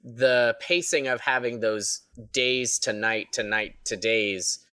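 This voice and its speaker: A man's voice: medium pitch, fairly even intonation, takes it slowly, sharp tone, clean tone, dry recording. Male editor in a casual Q&A, mid-range voice, close and dry, making points emphatically. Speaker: audio editor on the production. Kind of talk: casual, animated panel chat.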